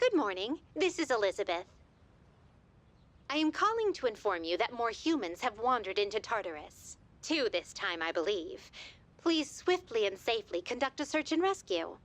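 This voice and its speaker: A woman's voice: a little high, very animated, moderate pace. A woman voicing a poised, formal, otherworldly attendant in a video game, polite and precise. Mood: formal, courteous. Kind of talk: video game character dialogue.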